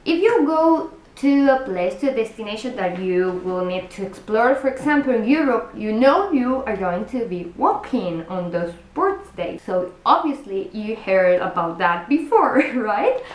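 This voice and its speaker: A woman's voice: a little high, big pitch swings, unhurried, hardly any pauses, roomy sound, poor audio. A woman from Buenos Aires with an Argentine Spanish accent, a native Spanish speaker giving cruise packing tips in a chatty vlog. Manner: chatty, restarts and self-corrections, direct address to viewers. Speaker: cruise vlogger.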